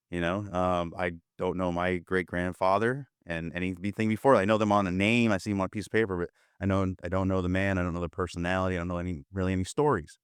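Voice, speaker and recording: A man's voice: deep voice, clear, resonant tone, dry recording, studio quality. A man hosting an interview, talking casually and thoughtfully with searching restarts. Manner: thoughtful, searching, with repeated starts. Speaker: podcast host, interviewer.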